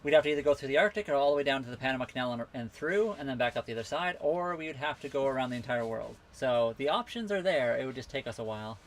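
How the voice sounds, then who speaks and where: A man with a voice of ordinary pitch talks loosely and easily, wandering from one answer to the next as he reads out chat questions. He streams from a phone aboard a sailboat at a marina, with a faint hum in the background. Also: fast talker, hardly any pauses. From western Canada. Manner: easygoing, rambling, reading out and answering chat comments. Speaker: livestream host answering viewer questions. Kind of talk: casual live Q&A chat.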